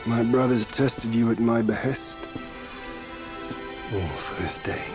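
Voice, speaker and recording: A man voicing a solemn, measured warrior figure in a game cutscene. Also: mid-range voice, music in the background, lightly acted. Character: solemn male warrior elder, at peace. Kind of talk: video game cutscene voice acting. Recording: game cutscene with loud score.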